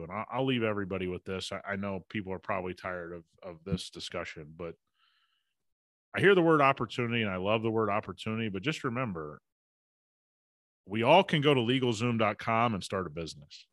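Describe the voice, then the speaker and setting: A middle-aged man talks bluntly and emphatically on a podcast while running a fever. His voice sits low, and the recording is dry and close. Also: lively intonation, a little fast, fairly bright tone, no room sound. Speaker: podcast co-host. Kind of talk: casual motivational talk.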